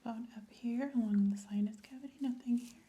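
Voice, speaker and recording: A woman playing a calm, attentive doctor in an ASMR medical roleplay, speaking softly and often whispering as she gently instructs and checks in. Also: on the high side, fast, a little hiss or hum, lightly acted. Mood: calm, reassuring.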